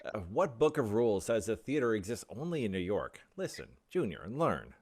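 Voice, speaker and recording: An actor playing a cocky, impatient, opinionated theater director in a radio play. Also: male voice, fairly low pitch, sing-song, a little mellow. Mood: impassioned lecturing. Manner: brisk, opinionated, teasing.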